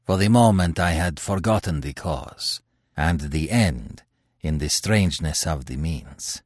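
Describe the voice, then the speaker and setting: A man voicing a composed, grave leader who speaks quietly and in a measured way. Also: expressive, dry recording, studio quality, exaggerated voice. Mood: calm, grave. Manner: quiet, measured, low delivery. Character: grave, composed man leading a secret group.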